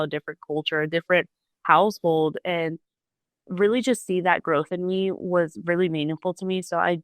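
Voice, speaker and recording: A woman's voice: fairly low pitch, a bit bright, clear, resonant tone, dry recording, studio quality. A young woman who is a community college student, telling her story earnestly and openly, with many "like" fillers. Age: young adult. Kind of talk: casual personal story.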